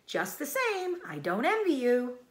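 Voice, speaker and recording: A storybook old ewe, acted by a woman, blunt and snappish. Her voice is raised and lively in pitch, delivering everything as a world-weary busybody. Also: measured pace, full character voice. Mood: nosy. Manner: loud, blunt, matter-of-fact.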